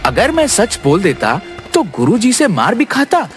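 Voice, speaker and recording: A woman's voice: mid-range voice, huge pitch swings, unhurried. A woman voicing a sly, self-serving young boy in a children's cartoon story. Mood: self-justifying. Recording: music behind the voice.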